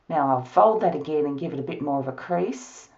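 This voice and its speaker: A hoarse Australian woman with a low voice talks through a card-making project, her pitch swinging up and down as she chats. She laughs now and then between instructions. The sound is a little muffled and lo-fi. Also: animated, a little fast, darkish tone. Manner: chatty, laughs often. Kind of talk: crafting tutorial narration. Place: home craft studio, at a table.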